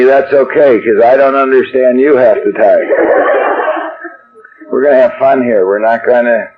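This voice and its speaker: A man from Chicago giving a joking, rambling talk at a recovery workshop to a live audience. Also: medium pitch. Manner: wry, rambling, self-deprecating. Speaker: AA workshop speaker. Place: meeting room before an audience. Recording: dull lo-fi archive tape with faint hiss.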